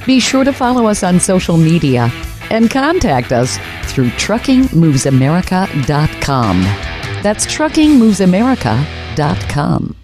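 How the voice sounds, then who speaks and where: A woman's voice: on the low side, sing-song, unhurried, music in the background. A woman reading a scripted radio promo in an upbeat manner. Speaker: ad announcer.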